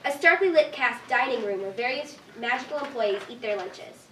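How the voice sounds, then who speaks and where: A woman's voice: fairly high pitch, animated, moderate pace, fairly bright tone. A woman narrating a script's stage directions at a staged reading. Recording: echoey room, distant mic, faint noise.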